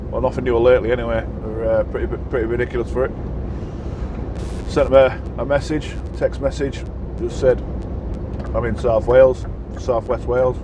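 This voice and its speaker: A man who drives trucks for a living, talking casually in a husky, croaky voice while he drives, rambling with lots of fillers. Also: medium pitch, little pitch movement, moderate pace. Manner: chatty, rambling, frequent "uh" fillers. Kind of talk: casual vlog chat while driving. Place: truck cab on the road. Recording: road noise from a moving truck.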